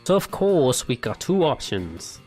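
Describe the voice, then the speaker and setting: Male gaming host: casual playthrough chat, very lively pitch swings, unhurried pace. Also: soft background music.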